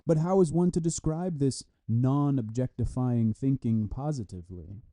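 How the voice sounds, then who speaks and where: A man reading a scholarly text aloud with deliberate, halting phrasing. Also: medium pitch, dark tone. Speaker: reader of a philosophy article. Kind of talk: read-aloud scholarly text with brief explanatory asides.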